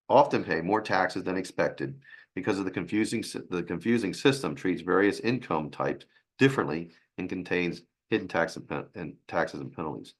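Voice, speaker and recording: A man with a raspy, hoarse voice from a sinus infection, presenting a financial webinar in a halting way. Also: deep voice. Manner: halting, with restarts and fillers.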